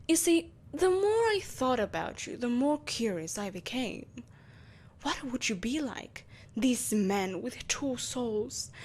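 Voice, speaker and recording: Woman acting a haughty, higher-pitched evil empress. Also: heavily acted. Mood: intrigued, possessive. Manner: theatrical, haughty, deliberate formal phrasing. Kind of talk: scripted audio roleplay monologue.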